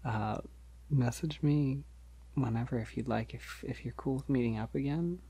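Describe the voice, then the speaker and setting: A man playing a polite, gentle, shy vampire in an ASMR roleplay, speaking softly and hesitantly to reassure the listener, often near a whisper. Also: medium pitch, slightly rough, poor audio. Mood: friendly, encouraging. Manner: hesitant, reassuring, halting with soft chuckles.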